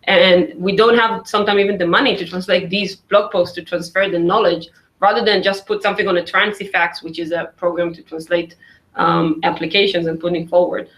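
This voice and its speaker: A woman's voice: fairly low pitch, little pitch movement, fairly quick, fairly bright tone, poor audio. A woman whose first language is Hebrew, speaking casually on a discussion panel with many "like" fillers.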